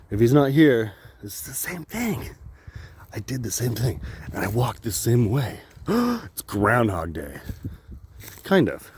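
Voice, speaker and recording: A man's voice: medium pitch, darkish tone, a little hiss or hum. A man vlogging outdoors on a walk, rambling, swearing and laughing at his own jokes. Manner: jokey, profane, laughs often.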